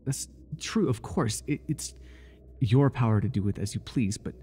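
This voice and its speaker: A man playing an obsessive, jealous high priest in an ASMR roleplay, fawning over his god in grandiose, stammering, pleading speech. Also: normal speed, dark tone, slight background noise, lightly acted. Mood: insistent, jealous. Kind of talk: ASMR roleplay monologue, dramatic acting.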